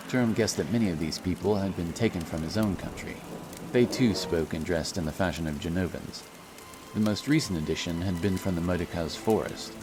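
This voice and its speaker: A man narrating a fantasy audiobook in a solemn, measured storytelling style. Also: low-pitched. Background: music and rain sound effects.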